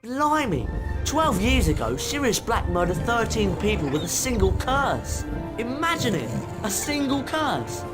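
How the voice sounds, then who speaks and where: A male actor playing an excitable, indignant schoolboy wizard with a British accent in a video game. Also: very high-pitched, a little slow, loud music behind the voice, exaggerated voice. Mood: awed.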